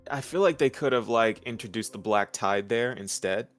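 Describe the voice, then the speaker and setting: Male co-host, lower voice, measured and a bit hesitant, casual review chat with music underneath. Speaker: video co-host.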